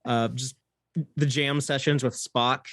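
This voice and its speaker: A man with a medium-pitched voice talks casually as a guest on a fan podcast. The recording is very dry, with no audible room around him. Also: fairly even intonation, slow, a bit bright, no room sound.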